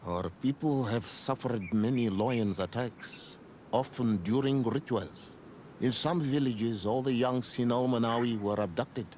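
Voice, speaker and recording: A man's voice: medium pitch, measured pace, a little mellow, a little hiss or hum, lightly acted. An actor playing a grave, formal male village leader, wary but gracious, in a video game cutscene.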